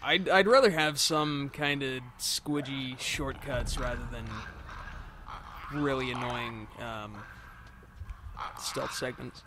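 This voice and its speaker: A man's voice: mid-range voice, takes it slowly, background noise. A man chatting casually as a wry, joking co-commentator on a video game. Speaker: co-commentator on a let's play. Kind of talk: casual chat reacting to gameplay.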